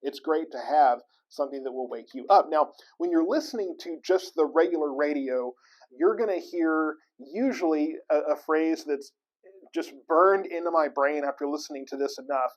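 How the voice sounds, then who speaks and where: An American man hosting a tips segment, explaining things casually to the viewer in a conversational, halting, folksy way. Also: fairly high pitch, poor audio. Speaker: host of weather tips segment.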